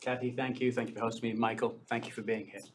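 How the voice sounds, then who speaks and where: Man, town board candidate, earnest, frequent ums, mid pitch. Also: flat delivery, quick pace, a little hiss or hum. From born in India, grew up in London. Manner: earnest, many um fillers. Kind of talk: debate answers.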